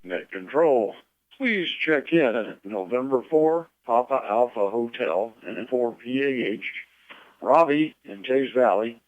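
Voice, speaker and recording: A man with a medium-pitched voice gives a procedural check-in as a radio operator. The audio is dry and a bit dull. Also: lively intonation, slow, no echo.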